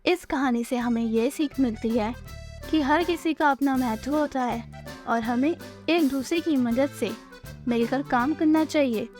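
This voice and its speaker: A woman's voice: sits high, unhurried, a little mellow, faint music behind. A woman reading out a scripted story moral for children. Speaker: narrator.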